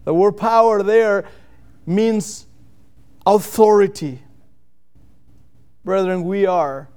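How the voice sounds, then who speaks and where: A man's voice: high-pitched, creaky, mellow. A man from Catalonia with a Catalan accent preaches a sermon in English as a second language, earnestly repeating key phrases for emphasis. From Catalonia, Spain. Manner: earnest, rhetorical repetition with deliberate emphatic phrases. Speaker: missionary preacher.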